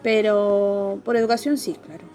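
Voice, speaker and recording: A middle-aged woman from Peru, with a Peruvian accent mixed with Spanish ones, telling stories in a casual interview, lively, swearing freely and laughing often. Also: mid-range voice, moderate pace, soft background music. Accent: Peruvian Spanish mixed with Andalusian and Madrid Spanish. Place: Seville, Spain.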